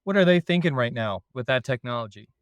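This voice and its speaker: Man, Canadian accent, dairy consultant, self-deprecating humor, mid pitch, dry close mic. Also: fast, no echo, highs cut off. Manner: self-deprecating, jokey, relaxed. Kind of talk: casual podcast chat.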